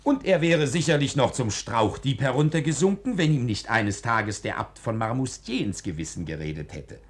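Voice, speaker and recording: An actor as a lively, teasing old-time storyteller. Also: male voice, medium pitch, normal speed, natural voice. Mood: relishing narration. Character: boisterous Renaissance-era storyteller, teasing ringleader of a troupe. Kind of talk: 1940s German radio play, theatrical storytelling.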